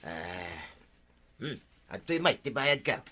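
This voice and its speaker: An easygoing village corn seller with an Ilocano accent in a radio comedy. He talks briskly and offhandedly in a bright, edgy voice. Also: on the low side, sing-song, a little hiss or hum, full character voice. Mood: offhand. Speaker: corn vendor. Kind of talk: comic radio drama acting.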